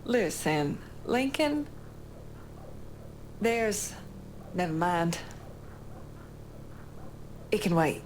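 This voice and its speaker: A woman's voice: fairly low pitch, very animated, very slow, long pauses, a little hiss or hum, exaggerated voice, rough recording. An actress playing a tough, foul-mouthed woman with a casual, streetwise manner in a video game cutscene. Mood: subdued. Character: tough, foul-mouthed woman, teasing old friend, privately insecure.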